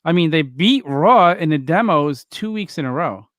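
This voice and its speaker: A man's voice: a little high, expressive, moderate pace, fairly bright tone, no echo. Man, casual podcast talk, rambling with restarts, teasing, dry close sound. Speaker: wrestling podcast co-host. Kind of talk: casual chat.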